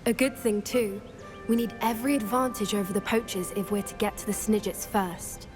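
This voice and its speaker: A woman playing an earnest, gentle teenage witch who loves animals in a video game, speaking articulately and softly eager with a British accent. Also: mid-range voice, steady tone, normal speed, over music, natural voice. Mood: thoughtful, hopeful. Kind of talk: scripted video game dialogue acting.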